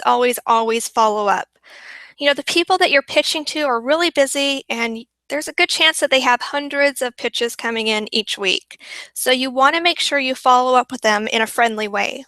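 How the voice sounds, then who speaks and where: A woman's voice: sits high, pushed and bright, no room sound. A woman presenting a marketing webinar, upbeat and repeating points for emphasis, her voice worn and going. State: losing her voice.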